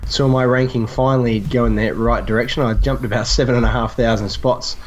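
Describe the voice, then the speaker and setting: A man's voice: mid-range voice, fairly even intonation, fairly quick, a little hiss or hum. A man, a podcast guest, talking in a friendly, matter-of-fact way. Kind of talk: casual podcast chat.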